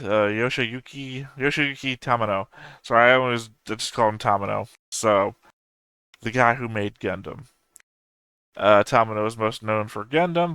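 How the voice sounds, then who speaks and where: A man's voice: fairly low pitch, fairly bright tone, clear, resonant tone, dry recording. A man in his thirties hosting an anime reaction channel, talking off the cuff with dry humor and frequent self-corrections. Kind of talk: casual rambling commentary.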